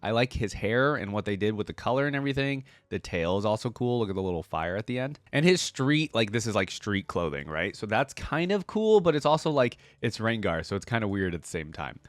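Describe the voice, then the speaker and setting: A man's voice: lowish voice, big pitch swings, fairly quick, few pauses, no room sound. A man hosting a reaction video, talking casually and off the cuff in informal, slangy language as he thinks aloud.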